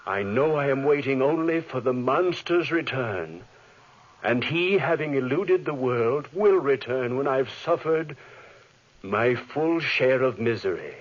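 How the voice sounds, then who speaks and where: Tormented scientist in a 40s radio play, husky, melodramatic, dull old recording. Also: male voice, medium pitch, animated. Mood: bereft. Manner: melodramatic, halting repetitions. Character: tormented male scientist haunted by guilt and fear. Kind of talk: 1940s radio acting.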